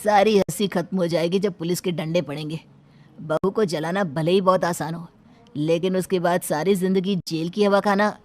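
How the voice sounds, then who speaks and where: A woman's voice: fairly low pitch, fairly even intonation, normal speed, darkish tone, soft background music, lightly acted. A woman voicing a stern, suspicious doctor in a cartoon moral story, firm and warning. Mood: threatening.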